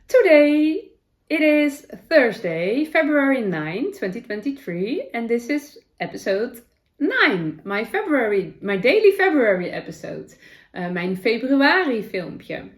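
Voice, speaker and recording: A woman's voice: fairly high pitch, big pitch swings, takes it slowly. A middle-aged Dutch woman with a Dutch accent, chatting casually about her day as a knitting vlogger at home, rambling with fillers and self-corrections. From Netherlands. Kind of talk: casual chat. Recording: roomy echo.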